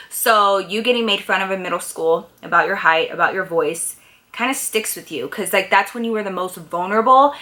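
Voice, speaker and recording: A woman vlogger with a bright, forceful voice at a medium pitch. She chats casually to her viewers, handing out advice in a blunt, candid way and leaning on her points with emphasis.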